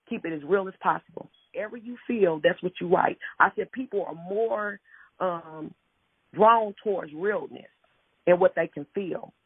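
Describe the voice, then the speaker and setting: A woman poet with a husky, slightly gravelly voice speaks reflectively as an interview guest. She comes through a narrow, tinny phone line with no room sound around her. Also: lowish voice, no echo. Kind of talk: conversational, reflective talk and poetry reading.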